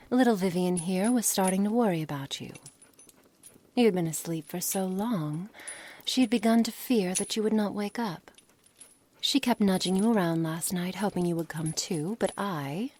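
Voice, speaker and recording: A woman playing a haughty, coolly controlling witch in an ASMR roleplay, speaking formally and deliberately in a breathy voice that often nears a whisper. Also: on the low side, warm tone. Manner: slow, formal, deliberate, condescending. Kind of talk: ASMR roleplay, scripted monologue to the listener.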